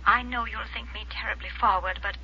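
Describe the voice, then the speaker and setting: Prim fussy small-town wife, fluttery and proper, muffled old radio recording. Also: female voice, mid-range voice, steady tone, fairly quick, husky. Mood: flustered, coy. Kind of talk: 1950s radio drama acting.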